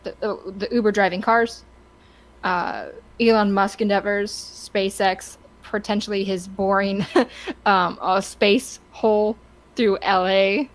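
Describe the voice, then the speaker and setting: A woman co-hosting a podcast, talking casually and with opinions in a halting, stop-start way as she searches for words. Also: mid-range voice, fairly even intonation, poor audio. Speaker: podcast co-host.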